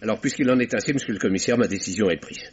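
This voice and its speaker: An actor playing an indignant, resolute bourgeois gentleman, husky and pompously emphatic, in vintage French radio mystery style. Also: fairly low pitch, rapid-fire, a little hiss or hum, lightly acted. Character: indignant, resolute bourgeois uncle contesting a will. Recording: dull vintage radio recording.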